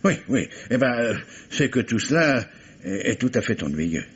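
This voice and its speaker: Actor as a wily police commissioner, muffled vintage radio. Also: male voice, mid-range voice, sing-song, moderate pace, close to an everyday voice. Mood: feigned hesitation. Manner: hesitant, repetitive phrasing that turns commanding. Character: wily, insistent police commissioner interrogating a suspect. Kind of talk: 1950s French radio mystery acting. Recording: muffled vintage radio recording, faint noise.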